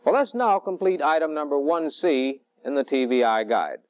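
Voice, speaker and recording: Male technical instructor, middle-aged, fairly high voice with varied intonation, measured teaching delivery, old training-film sound. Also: animated, no room sound. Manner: deliberate, didactic, measured phrasing. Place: indoor training film studio. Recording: dull, dry vintage film soundtrack.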